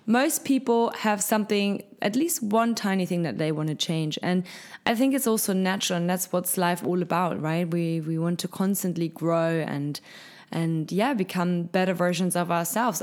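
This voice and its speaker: A woman hosting a casual solo podcast, her voice husky from lack of sleep, talking in an upbeat, encouraging, motivational way. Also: lowish voice. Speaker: podcast host. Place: hot top-floor apartment.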